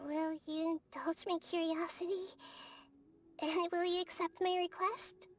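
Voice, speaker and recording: Game role: tiny wise child goddess, extremely high voice, earnest, gently inquisitive, over music. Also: female voice, flat delivery, a little slow. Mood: curious, earnest. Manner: soft-spoken, thoughtful, quizzical, speaks in animal analogies. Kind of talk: video game character dialogue.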